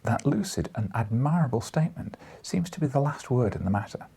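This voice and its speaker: A man voicing a formal, flattering letter read aloud in an audiobook, pompous and deferential. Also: mid-range voice, fairly quick, gravelly, slightly rough, exaggerated voice. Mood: pompous flattery. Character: formal male letter-writer, flattering, earnest, quietly challenging.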